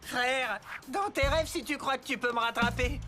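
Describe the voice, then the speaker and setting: A boastful, mocking cartoon boy, performed by a woman. The voice is high and swaggering, made for taunting, with clear background music underneath. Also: moderate pace, natural voice. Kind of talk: animated cartoon dub acting.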